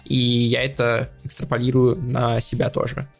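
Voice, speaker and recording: A young man as a podcast guest, explaining his plans at length in a measured, casual way. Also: mid-range voice, completely flat delivery, vocal fry, soft background music. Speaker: podcast platform editor-in-chief, guest.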